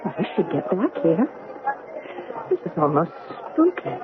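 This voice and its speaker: A woman's voice: fairly low pitch, big pitch swings, a little slow, a crowd in the background. A woman playing a hesitant, earnest young history teacher and tourist in a 1970s radio drama, speaking politely. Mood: bewildered. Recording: tinny band-limited old radio, clear noise.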